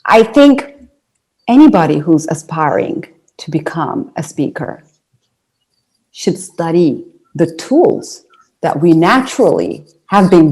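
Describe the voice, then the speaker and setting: A woman from Ethiopia, with Amharic as her first language, talks through her story as an interview guest. Her tone is mellow and dark, and she tells it in a loose, chatty way, restarting phrases and dropping in fillers. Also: on the low side, rough recording. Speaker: interview guest, singer and speaker. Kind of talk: conversational interview.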